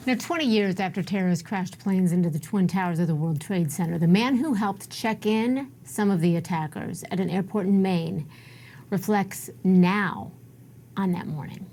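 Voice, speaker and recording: A woman's voice: medium pitch, normal speed, dark tone. A woman with an American accent working as a news anchor, presenting and interviewing live on television.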